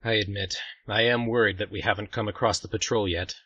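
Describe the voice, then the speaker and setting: A man playing an earnest, good-natured young prince, considerate and measured, in a fantasy audio drama. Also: lowish voice, fairly even intonation, bright, forceful tone, husky, dry recording, highs cut off. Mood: concerned.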